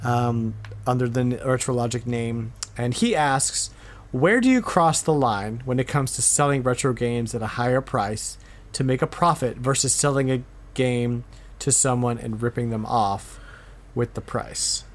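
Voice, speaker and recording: A man's voice: mid-range voice, unhurried. A man hosting a podcast in a casual chat, informal and emphatic, repeating himself to make a point. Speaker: podcast host.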